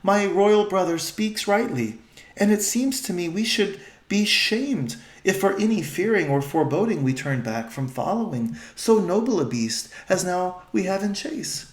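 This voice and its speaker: An earnest young queen from a storybook, put on by a man reading aloud, speaking in formal, old-fashioned phrases. The voice sits high and lively. It has a roomy echo. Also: measured pace.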